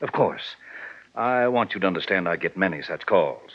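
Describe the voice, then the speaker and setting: An actor playing a menacing, falsely courteous middle-aged crime boss in a 1940s radio drama. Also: male voice, low-pitched, animated, normal speed. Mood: smoothly menacing. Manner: falsely courteous, veiled threats. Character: smooth, menacing, self-important male racketeer boss, middle-aged. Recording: lo-fi, noisy 1940s radio recording.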